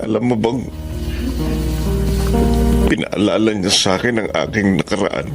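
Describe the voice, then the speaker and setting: A man's voice: fairly low pitch, a little slow. A man playing a troubled, emotional middle-aged father and doctor in a Filipino radio drama. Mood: grieving. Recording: music under the voice.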